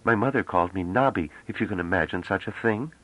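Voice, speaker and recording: A man's voice: low voice, fast talker, fairly bright tone, no room sound, pitched above the performer's own voice, rough recording. A man reading an audiobook, voicing a playful, confident young woman who talks in a teasing, slightly formal way. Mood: chatty.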